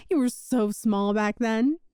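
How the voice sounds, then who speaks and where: A woman's voice: on the high side, animated, slow, mellow, clear, resonant tone, no echo, clean studio sound. A woman playing a sweet, possessive young witch shopkeeper in an ASMR roleplay, with a coy, doting, teasing manner. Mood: playful, teasing. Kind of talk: ASMR romantic roleplay audio.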